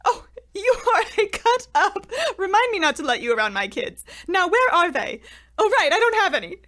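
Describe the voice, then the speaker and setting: A woman's voice: very high-pitched, big pitch swings, normal speed, fairly bright tone, exaggerated voice. A woman playing a paranoid, scatterbrained shut-in who frets and talks to herself in an old-time radio comedy. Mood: giddy, muttering. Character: paranoid, unhinged shut-in woman talking to her potato.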